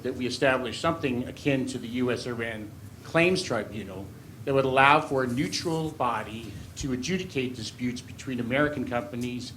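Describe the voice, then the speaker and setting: Male lawyer at a public forum, mid-pitched, halting and formal, faint room noise. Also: fairly bright tone, low-quality recording. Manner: halting, deliberate, legalistic. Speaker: audience questioner, lawyer. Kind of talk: formal question from the floor.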